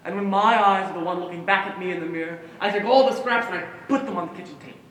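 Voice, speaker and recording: A woman's voice: on the low side, rapid-fire, lightly acted. A woman on stage playing an obsessive, troubled, protective inner voice, fixated and repetitive in her intensity. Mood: obsessive, anxious. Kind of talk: theatrical stage monologue. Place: stage in a hall, one-act play performance. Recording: echoey hall from far off, faint noise.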